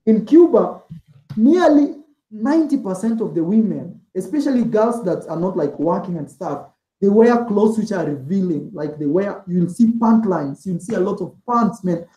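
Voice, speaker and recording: A man's voice: sits high, animated, normal speed, mellow, echoey room, low-quality recording. A man being interviewed as a guest, talking in a loose, rambling way and leaning on "like" and "man" as fillers. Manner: rambling, heavy use of "like" and "man". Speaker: interview guest, travel vlogger. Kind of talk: casual interview chat.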